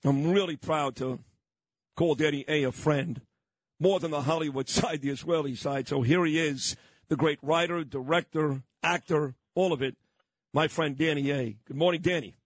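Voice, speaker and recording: A man's voice: fairly high pitch, normal speed, dry recording. A man hosting a radio interview, talking emphatically in long run-on lists. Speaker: radio talk host.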